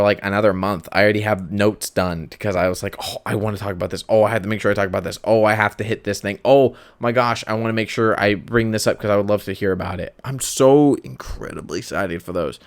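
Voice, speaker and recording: A man with a lowish, smooth and clear voice hosts a podcast on his own. He chats casually and rambles, often breaking off his own sentences for self-aware asides. The recording is close and dry, with no room sound. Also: dry recording. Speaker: podcast host. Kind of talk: casual solo podcast chat.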